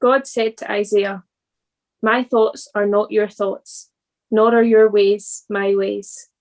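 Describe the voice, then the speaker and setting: A woman reading a scripted church devotional in an earnest, deliberate manner. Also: medium pitch, flat delivery, darkish tone.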